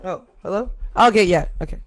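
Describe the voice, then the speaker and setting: A woman with a deep voice hosting a live talk show, chatting casually and rambling with laughs. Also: brisk, no room sound. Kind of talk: casual live commentary chat.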